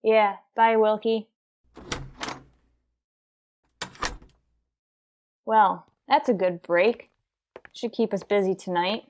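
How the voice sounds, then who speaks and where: A woman's voice: medium pitch, fairly even intonation, unhurried, a little mellow, highs cut off, lightly acted. A woman playing a businesslike police sergeant who narrates a radio crime drama in a clipped, matter-of-fact way.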